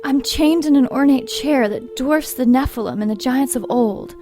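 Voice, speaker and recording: An actress playing an awestruck, submissive servant lost in a mystic trance in an audio drama, breathy and full of wonder. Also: female voice, high-pitched, normal speed, dark tone, natural voice. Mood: awed. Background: music.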